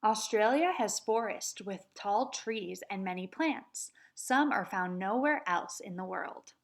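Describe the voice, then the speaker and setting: A woman teaching kindergarten, reading a nonfiction book aloud and chanting words slowly for the children to repeat. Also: mid-range voice, creaky. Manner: slow, patient, sing-song chanting of words for children to repeat. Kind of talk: read-aloud lesson for young children.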